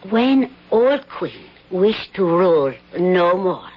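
Palace maid from abroad, broken english, slow and husky, dull old radio sound. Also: female voice, lowish voice, very animated, exaggerated voice. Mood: earnest. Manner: broken halting English, pleading. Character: anxious foreign maid of a royal palace. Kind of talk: 1970s radio drama acting. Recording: dull 1970s radio broadcast.

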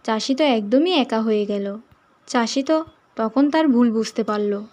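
A woman's voice: on the high side, unhurried, a little mellow. A woman narrating a fairy tale as a scripted story.

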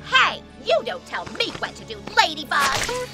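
A woman playing a spoiled, haughty teenage girl in a cartoon game, shrill, indignant and imperious. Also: very animated, moderate pace, heavily acted. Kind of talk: animated cartoon game acting. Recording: music behind the voice.

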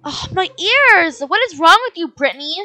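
A woman's voice: extremely high pitch, expressive, moderate pace, very noisy. A young female player acting as a put-upon, indignant schoolgirl stepsister in an improvised game roleplay, exasperated and protesting.

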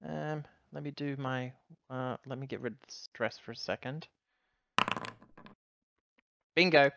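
A man's voice: mid-range voice, fairly even intonation, a little slow, creaky, fairly bright tone, muffled recording, slight background noise. A man chatting casually as a player in a tabletop role-playing game.

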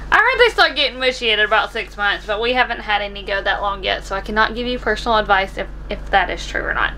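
An American woman in her twenties hosts a casual home cooking vlog. Her voice sits on the high side and is notably bright and forward. She is recorded on a camera in a small kitchen, with light noise behind her. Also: low-quality recording. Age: 20s. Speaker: vlog host. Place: small camper kitchen, indoors.

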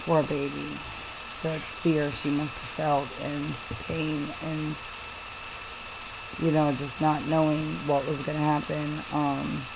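A woman commenting on a news story, talking haltingly with many fillers and restarts. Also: low voice, level tone, gravelly, noisy background. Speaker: channel host reading news and commenting. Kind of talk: casual commentary over a screen recording.

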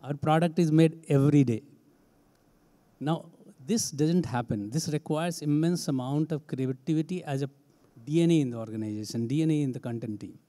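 A man's voice: a little high, moderate pace, mellow. Emphatic Indian man on a panel. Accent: Indian English. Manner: emphatic, repetitive phrasing. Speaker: HR head, panelist. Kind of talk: panel discussion answer. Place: large conference stage. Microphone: handheld mic.

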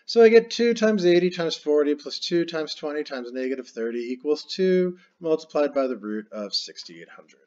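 A man explains a math problem step by step as he writes it out on screen. His voice sits fairly high and moves a lot in pitch. The recording sounds a little dull and lo-fi, like a computer mic. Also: lively intonation, moderate pace, few pauses, a little mellow. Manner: explains step by step while writing. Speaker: math teacher.

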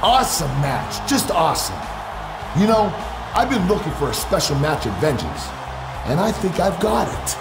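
Animated echoey wrestling boss. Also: male voice, on the high side, moderate pace. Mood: excited, scheming. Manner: showy, emphatic announcing. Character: enthusiastic male wrestling general manager. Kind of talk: wrestling video game cutscene. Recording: echoey room with music behind.

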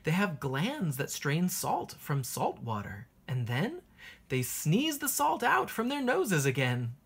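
A woman teacher reading a children's picture book aloud in an expressive, sing-song way, with a husky voice. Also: low-pitched, takes it slowly.

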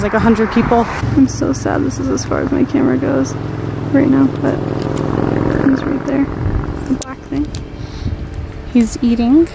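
A woman's voice: a little high, creaky, heavy background noise. A woman vlogging casually as a travel host, talking in an informal, conversational way. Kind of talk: casual vlog chat. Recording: music behind the voice. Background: music.

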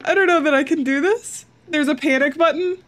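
A woman's voice: high-pitched, brisk. A young woman playing a horror game, chatty and jittery, joking at her own fear, on a studio mic in a small room at home. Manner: jittery, chatty, self-mocking. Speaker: gaming YouTuber. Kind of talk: horror game let's play commentary. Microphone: studio mic with pop filter, headphones.

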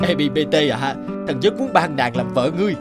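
A man's voice: on the high side, lively intonation, fairly quick, loud music behind the voice, lightly acted. A man voicing a persuasive messenger of the gods in a fairy-tale cartoon, formal and coaxing. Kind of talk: animated fairy-tale dubbing.

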